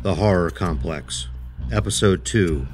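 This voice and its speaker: A man reading a scripted show announcement in a formal, measured way. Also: sits low, lively intonation. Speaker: podcast announcer. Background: prominent music.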